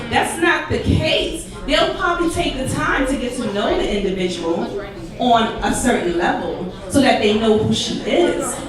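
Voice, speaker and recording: A woman on a live panel, speaking passionately and frankly. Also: high voice. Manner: passionate, frank, repetitive lists. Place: echoey art venue, live taping. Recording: echoey, distant mic with crowd noise.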